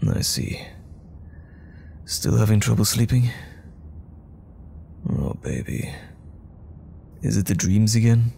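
Man playing a tender, low-voiced boyfriend. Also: animated, takes it slowly, gravelly, pauses often, slight background noise, heavily acted. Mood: gentle concern. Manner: gentle, soothing, affectionate. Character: tender, worried boyfriend comforting a troubled partner at night. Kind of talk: intimate roleplay audio drama, soft bedside talk.

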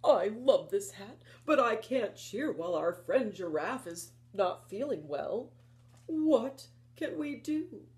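Woman reading as a grumpy, slow-talking storybook animal. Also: fairly high pitch, animated, darkish tone. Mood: concerned. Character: storybook animals, grumpy lion and kindly friends.

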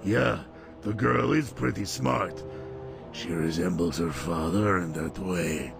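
An actor playing a sadistic middle-aged butcher and father in a horror game, gravelly, menacing and mock-tender. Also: sits low, slow pace, full character voice. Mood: gloating. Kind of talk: horror video game acting. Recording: loud music over the voice. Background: loud music.

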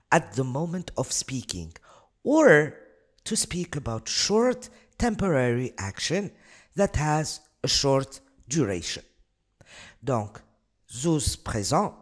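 A woman's voice: rumbling low, slightly rough. Tunisian lady teacher, arabic native, walks thru examples patiently, very up and down voice. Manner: explains patiently, repeats examples, bursts of fast speech. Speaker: English teacher. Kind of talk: exam revision lesson over slides.

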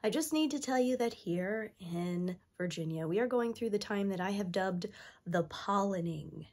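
A woman's voice: medium pitch, lively intonation, moderate pace, darkish tone. A woman in her thirties, stuffy from allergies, chatting eagerly to camera about thrift finds with lots of ums. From New York State. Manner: chatty, enthusiastic, many ums. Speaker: thrift haul vlogger. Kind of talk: casual chat to camera. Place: indoor room with toy shelves.

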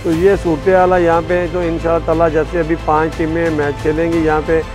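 A man's voice: on the high side, level tone, fairly quick. A middle-aged man with a Pakistani accent explains things informally to camera as an interviewed stadium caretaker, miked at the lapel. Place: outdoors on a cricket stadium field. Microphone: lapel mic. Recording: music behind the voice.

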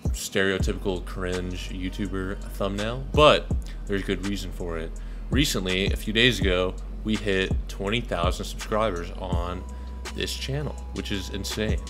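A young man from Dallas, Texas, a videographer, talking casually to camera in a monotone, rambling way with lots of ums. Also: low voice, normal speed, over music. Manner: laid-back, rambling with many ums. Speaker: videographer and channel host. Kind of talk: casual thank-you vlog to camera. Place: small pink-lit bedroom, indoors.

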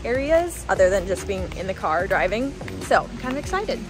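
A woman's voice: medium pitch, very animated, a little fast. A woman in her twenties chatting casually and informally to camera as a travel vlogger, recorded on a phone held at arm's length. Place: mostly outdoors at a seaside resort. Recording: loud music, outdoor phone recording. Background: loud music.

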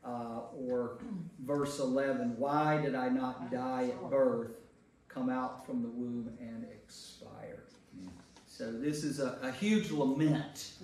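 A man's voice: medium pitch, a little mellow. An American man leading a Bible study as a priest, speaking informally and conversationally to a group and checking in with questions. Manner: conversational, puts questions to listeners, checking in with "right?". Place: indoor church meeting room with a group. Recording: echoey room from far off, noisy.

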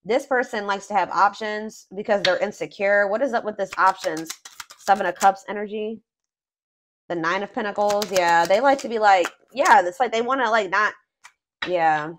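A woman giving a casual tarot reading off camera, thinking aloud with fillers and restarts, her voice a little hoarse. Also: mid-range voice, normal speed, fairly bright tone, a little hiss or hum. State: voice going hoarse. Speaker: tarot reader.

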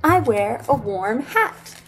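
A woman's voice: fairly high pitch, big pitch swings, slow pace, faint music behind, rough recording. A woman voicing an earnest, solitary but kindly little girl from a storybook, with direct, childlike phrasing.

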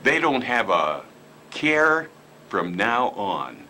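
Older man with a lively, calm voice. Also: fairly low pitch, very slow, a little hiss or hum. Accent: Ohio. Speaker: co-host. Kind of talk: casual chat. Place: small room indoors.

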